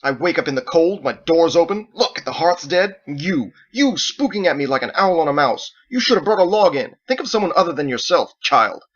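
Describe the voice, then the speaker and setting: A man's voice: fairly high pitch, sing-song, fairly quick, few pauses, fairly bright tone, muffled recording, pitched above the performer's own voice. Man acting a stern, irritable young knight, scolding. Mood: stern, angry. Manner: scolding, emphatic.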